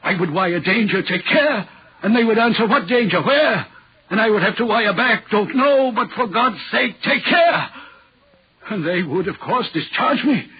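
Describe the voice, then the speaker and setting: A man's voice: high voice, expressive, a little slow, slightly rough, exaggerated voice. An actor playing a haunted, anguished railway signalman in a brooding, dramatic 1940s radio horror play style. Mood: anguished, desperate. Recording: muffled 1940s radio recording.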